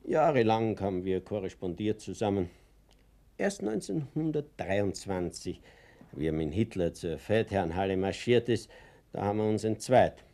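Elderly Austrian man, gravelly, swooping intonation, naive, self-assured, homely, radio play. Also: fairly low pitch, animated, normal speed, dark tone. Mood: proud, reminiscing. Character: naive, self-important elderly Viennese schoolfellow of a Nazi leader. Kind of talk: radio drama dialogue.

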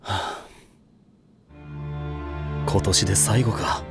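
A man voicing a dependable high-school team captain in an anime audio drama, upbeat, firm and quick to take charge. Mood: wistful, firm. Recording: music playing behind the voice.

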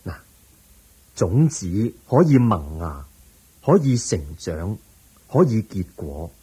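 A man acting a calm, patient, wise teacher in a radio drama, measured and gently instructive, with a Hong Kong Cantonese accent. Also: lowish voice, slightly rough, full character voice.

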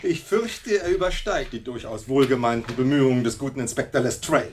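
An actor playing a brilliant, cheerfully superior gentleman detective in a German radio play, eloquent and suave with a wry condescension. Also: medium pitch, fairly quick, a little hiss or hum, natural voice.

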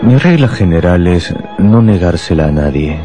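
An actor playing a smug, contemptuous anarchist bomb-maker in a radio drama, speaking deliberately. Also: male voice, low-pitched, expressive. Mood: smug, grandiose. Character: small shabby anarchist bomb-maker, fanatical, contemptuous, boastful. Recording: loud music behind the voice.

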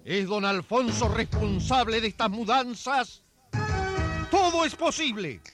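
A man's voice: high-pitched, measured pace. A man narrating a radio serial in a dramatic scripted style. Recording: music behind.

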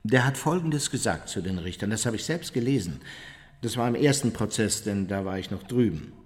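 A former Nazi officer in a radio drama, looking back on his exploits with smug pride. His low voice swings animatedly as he tells the story and slips into the quotes. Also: animated, a little fast, breathy edge. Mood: boastful, animated. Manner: self-satisfied, vivid storyteller who acts out quotes. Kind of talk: radio play acting, conversational recollection.

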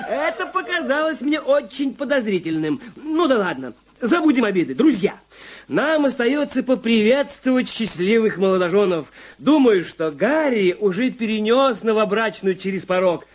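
An actor playing a mocking, gleeful man in an audio drama, jeering theatrically. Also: extremely high pitch. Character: mocking, gleeful, loud man hailing newlyweds. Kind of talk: Russian audio drama acting. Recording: crowd noise behind.

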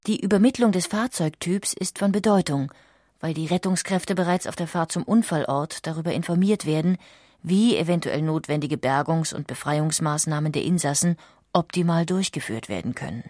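A woman reading scripted documentary narration in a measured, factual way. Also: low-pitched, darkish tone, no room sound, clean studio sound. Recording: music behind the voice. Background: music.

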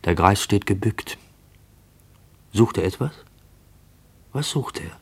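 An actor narrating a sombre radio play in a measured, literary way. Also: male voice, lowish voice, animated, creaky, husky, heavily acted. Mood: measured, watchful. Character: observant male narrator of a sombre story.

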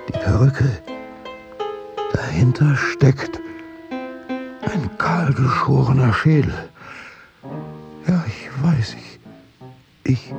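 An actor playing a tormented, sleepless prosecutor in a radio drama, murmuring halting, repetitive thoughts near delirium. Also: male voice, extremely low pitch, creaky, muffled recording, full character voice. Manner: halting, repetitive, often whispering. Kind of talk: radio drama inner monologue. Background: music.

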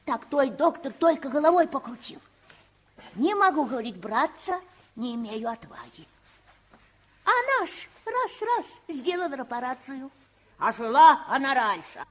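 An actress playing a bossy, devoted old nanny who scolds in folksy dialect in a radio play. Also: female voice, high-pitched. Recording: vintage studio radio drama.

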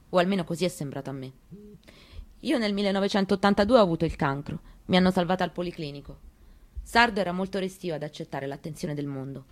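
Woman acting grieving elderly mother, low-voiced, confiding. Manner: intimate, confiding, reflective, short halting phrases. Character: elderly grieving mother of murdered son, wistful, stubborn. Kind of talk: dramatic monologue reading, radio theatre.

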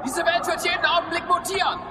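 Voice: very high-pitched, flat delivery, fast talker, long reverb tail, loud music behind the voice, a loud crowd. A performer playing a bold, bossy child adventurer in a radio drama, speaking in a brash, urgent way.

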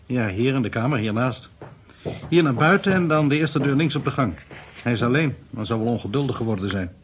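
An actor playing a measured, sceptical police detective in a radio play, husky and matter-of-fact, on a muffled old recording. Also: male voice, mid-range voice, expressive, slight background noise, lightly acted. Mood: collegial.

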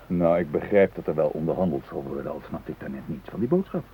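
An actor playing a practical, caring male hostage in a radio drama, talking in a measured, reasoning way. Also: rumbling low, animated, breathy edge, narrow, tinny audio, heavily acted. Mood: reassuring. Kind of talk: naturalistic radio drama acting.

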